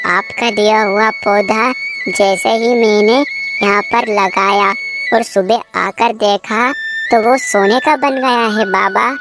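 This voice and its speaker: A woman's voice: medium pitch, fairly even intonation, slow pace, over music. A woman voicing a poor, kind, earnest village husband in a moral cartoon story.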